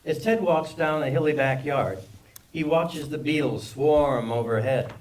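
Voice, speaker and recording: A man's voice: fairly high pitch, a little slow, darkish tone. A man reading scripted stage directions as narrator of a screenplay table read, recorded from a distance. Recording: distant microphone in live reading.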